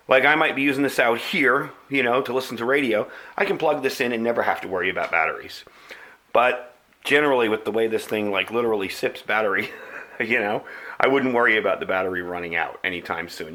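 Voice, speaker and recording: A man's voice: medium pitch, pushed and bright. A man hosting a video channel, talking through a product review in a casual, plain-spoken, explanatory way.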